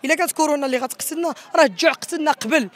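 A high-voiced Moroccan woman speaks at great speed. She sounds like an impassioned protester, emphatic and repetitive, recorded on a handheld mic outdoors. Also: rapid-fire. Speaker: protesting wedding musician. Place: outdoors in a city square. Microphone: handheld reporter microphone.

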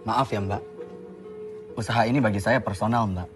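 Actor as young male boss, stern, stubborn, fairly low voice, film dialogue, faint music. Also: normal speed, lightly acted. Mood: curt, offended. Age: young adult. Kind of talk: naturalistic film acting.

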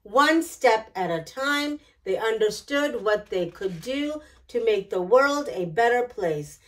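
Middle-aged female storytime host, slightly high voice, unhurried and expressive picture-book reading. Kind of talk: children's picture book read-aloud. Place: small decorated indoor storytime set.

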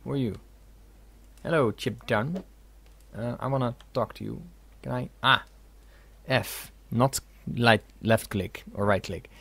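Gravelly man doing casual game commentary. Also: medium pitch, a little slow, a bit bright, no room sound. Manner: reads game dialogue aloud, jokey asides. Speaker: gaming video host. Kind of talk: casual let's play commentary.

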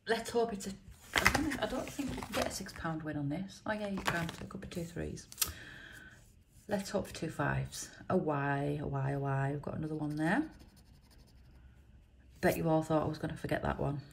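A woman, croaky from a chest infection, chatting casually while she reads out letters and symbols on scratch cards at a table indoors. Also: lowish voice, a little hiss or hum. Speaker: scratch card vlogger. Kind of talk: casual commentary.

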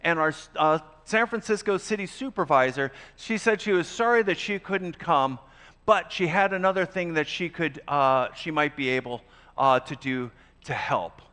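An older man speaks from an auditorium stage, his voice high and bright. He halts and restarts often as he hosts the event. The sound carries a long hall reverb. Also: smooth. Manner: halting, many restarts. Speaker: event host. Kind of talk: speech at an event.

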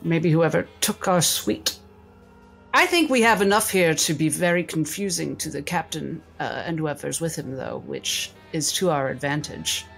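Lady doing a shrewd posh-mannered doctor woman for an rpg, low voice, genteel and imperious. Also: moderate pace, vocal fry, fairly bright tone, soft background music. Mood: shrewd, composed.

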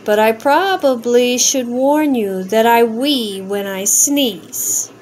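A woman's voice: a little high, slow, darkish tone, a little hiss or hum. A woman voicing a friendly, eager little male pug in a rhyming storybook, with an agreeable manner.

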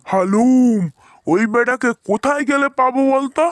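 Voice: sits high, moderate pace, dry recording, studio quality, natural voice. A performer voicing an angry, boastful male tiger who threatens and blusters in a children's fairy-tale cartoon. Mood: furious.

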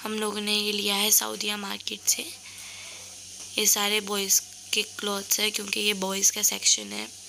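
A woman with a sore throat narrates a shopping vlog over the footage. Her voice sounds gravelly and a little rough, yet bright and sharp, set slightly high with a flat, even pitch. A faint hiss sits behind her. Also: moderate pace. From Pakistan. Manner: cheerful, chatty, points things out to viewers. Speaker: vlogger. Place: voice-over recorded later, indoors.

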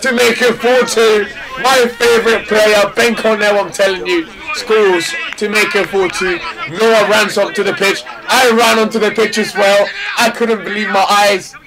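A man commentating on an amateur football match from the touchline, calling the play excitedly and repeating himself. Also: a little slow, hardly any pauses, poor audio. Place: outdoors on the touchline. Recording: loud crowd on an outdoor pitch.

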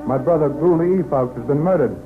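An actor playing an earnest, plain-spoken brother of a murdered man in 1930s radio drama, speaking deliberately. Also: a little high, close to an everyday voice. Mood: sober, earnest. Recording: muffled, hissy 1930s radio recording.

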